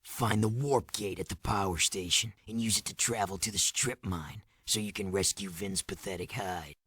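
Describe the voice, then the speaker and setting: A gruff, deep-voiced resistance commander. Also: male voice, normal speed, bright, forceful tone, exaggerated voice. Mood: stern. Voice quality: low, gravelly. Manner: curt, commanding, disdainful. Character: gruff, hardened, scornful underground resistance commander, ex-guard. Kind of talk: video game cutscene acting.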